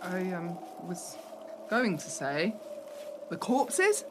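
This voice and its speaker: An actress playing a shaken, suspicious young hotel assistant manager, asking hesitant, probing questions in a radio play. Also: female voice, lowish voice, big pitch swings, drawn-out words, full character voice. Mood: subdued, hesitant. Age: young adult. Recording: noisy recording with background ambience.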